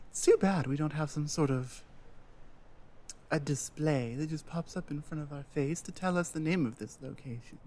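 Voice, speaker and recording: A man's voice: fairly high pitch, darkish tone. A man playing an earnest, self-doubting Nordic guide and adventuring companion in a roleplay audio drama, musing hesitantly. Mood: cautious, deferential.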